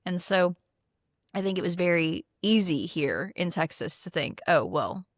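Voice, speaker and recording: A woman's voice: fairly low pitch, expressive, unhurried, no room sound, studio quality. A middle-aged woman from the Philippines whose first language is Tagalog, speaking as a guest in a conversational interview, earnest, with frequent "um"s and light laughs.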